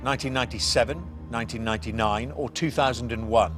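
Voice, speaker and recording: A man hosting a TV quiz show in a jovial, upbeat way, joking with the contestants between questions. He reads each question and its answer options slowly and clearly, with some quiet music under the show. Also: mid-range voice, steady tone. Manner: jovial, reads questions deliberately, chuckles at his own puns. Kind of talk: TV quiz hosting, scripted intro and banter.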